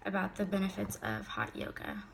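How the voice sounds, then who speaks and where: A woman's voice: mid-range voice, sing-song, brisk, vocal fry, background noise. A young woman giving a prepared class speech, a little sick so her voice sounds slightly off, mostly recited with occasional casual fillers.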